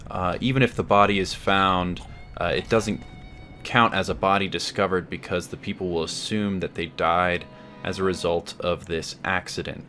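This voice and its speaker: A man's voice: low voice, fairly even intonation, normal speed, pushed and bright, faint music behind. A man giving wry, semi-scripted review commentary over video game footage, with a somewhat hesitant delivery.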